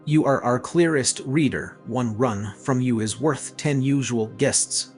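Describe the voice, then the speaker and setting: A man's voice: medium pitch, measured pace, lightly acted. A man playing a persuasive, ruthless experiment leader in an audio story, speaking matter-of-factly. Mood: coolly persuasive. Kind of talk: scripted audio story dialogue. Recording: music playing behind the voice.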